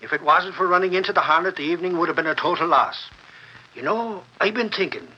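An actor playing an excitable, slow-witted newspaper reporter in a 1940s radio drama, blustery and folksy with rough grammar. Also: male voice, high-pitched. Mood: boastful. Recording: muffled 1940s radio broadcast.

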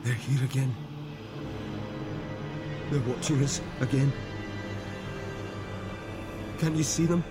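An actor playing a haunted, overwhelmed young man with strange powers in an audio drama, halting and intense. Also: medium pitch, fairly even intonation, natural voice. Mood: strained awe. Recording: ominous music under the voice. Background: scary music.

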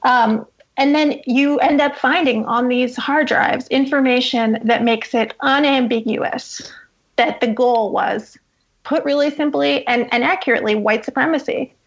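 A woman's voice: high voice, a little slow, husky. A woman giving articulate, emphatic explanatory commentary as an interview guest, on a dull, lo-fi recording. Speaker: journalist guest. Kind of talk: interview commentary on law and politics.

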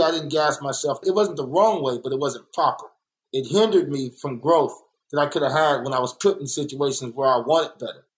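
Earnest man talking, slightly high voice. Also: poor audio. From born in Kansas City, raised in South Central Los Angeles. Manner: earnest, reflective, repeats points for emphasis. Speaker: muralist and guest. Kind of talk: candid conversational interview.

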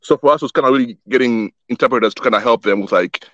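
A man's voice: mid-range voice, quick pace, a bit bright, lo-fi sound, slight background noise. A young man who was born in Wisconsin and grew up in Tanzania, a guest chatting casually about the youth soccer group he started, often repeating words as he thinks. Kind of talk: casual interview chat.

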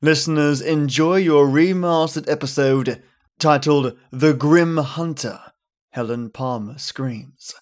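A man's voice: fairly high pitch, slow. A man hosting a podcast, reading a scripted introduction in a theatrical presenting manner. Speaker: podcast host.

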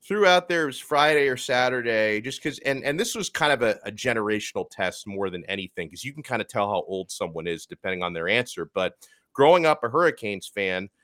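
A man in his thirties hosting a sports podcast in a casual, energetic chatty way. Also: fairly low pitch, a little fast, no echo, clean studio sound. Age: 30s. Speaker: podcast host.

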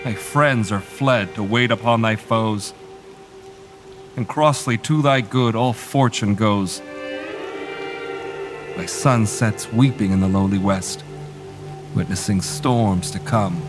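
A grieving nobleman speaks mournful, lyrical verse in a lowish voice over music and rain. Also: takes it slowly, long pauses. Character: loyal nobleman lamenting the king's fall. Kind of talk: Shakespearean stage acting for radio.

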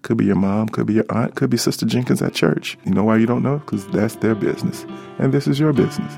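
A middle-aged American man from Alabama reflecting in an interview as a doctor, speaking in a measured, articulate way. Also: medium pitch, vocal fry, darkish tone, faint music behind. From Alabama, United States.

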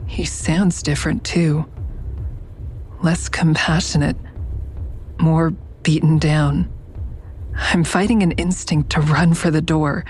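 A woman's voice: sits low, fairly even intonation, takes it slowly, pauses often, a little mellow, soft background music. An actress playing a guarded, uneasy female counselor who narrates her own story in an intimate, restrained audio drama style. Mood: tense, subdued.

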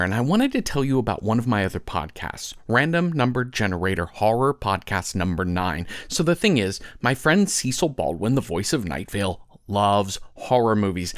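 A man's voice: medium pitch, no room sound, studio quality. A man hosting a podcast, giving a conversational scripted ad read. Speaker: podcast host reading promos.

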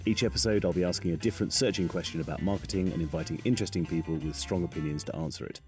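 Guy hosting a podcast, low voice, reading his intro, bit of music under it. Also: racing, darkish tone. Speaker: podcast host.

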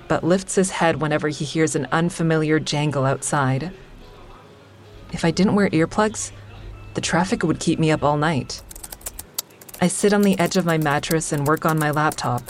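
An actress playing a wry, wary stand-up comic, narrating her life candidly in an audio drama. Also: low voice. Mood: wry, confiding. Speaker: lead character and narrator.

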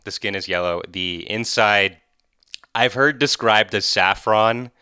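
Deep, bright-toned enthusiastic man. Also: a little slow, clear, resonant tone, no room sound, studio quality. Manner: enthusiastic, conversational, frequent "like". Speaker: podcast guest. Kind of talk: casual storytelling.

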